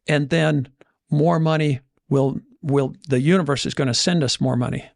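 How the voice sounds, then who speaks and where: A man's voice: fairly high pitch, creaky, dry recording, studio quality. A man speaking conversationally as a podcast host and financial coach, motivational in style, often voicing imagined inner dialogue to make his points. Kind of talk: conversational motivational money talk.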